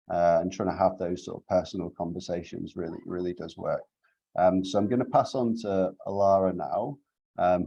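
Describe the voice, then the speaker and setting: A man's voice: deep voice, dark tone, dull audio. A man campaigner talking casually and hesitantly on an online rally video call, with many fillers.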